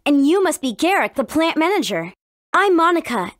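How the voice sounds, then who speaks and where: A woman with an American accent playing a spirited, earnest teenage warrior princess in an English video game, with expressive, emphatic delivery. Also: high voice, normal speed, no echo, studio quality. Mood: earnest, explaining.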